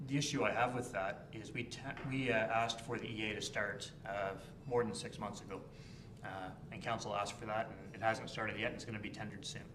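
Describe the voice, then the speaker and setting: A man councillor speaks in a lowish, flat, even voice, working through his argument step by step. The echoey chamber carries a noticeable background hum. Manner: measured, reasoning step by step. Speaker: town councillor. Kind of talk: council meeting debate. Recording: echoey room with background noise.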